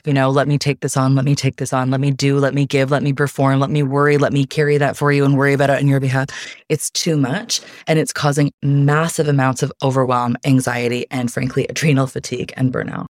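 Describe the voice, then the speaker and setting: A woman guest talking about mindset in an interview, with a soft, calming delivery. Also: rumbling low, quick pace, no room sound, studio quality. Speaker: guest, life coach. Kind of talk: casual interview chat.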